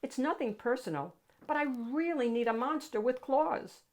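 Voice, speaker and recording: A woman's voice: high voice, moderate pace, a little mellow, pitched above the performer's own voice, lightly acted. Woman as a picky, doubtful storybook child. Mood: earnest, doubtful. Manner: earnest, questioning. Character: anxious, picky child narrator wanting a scary monster.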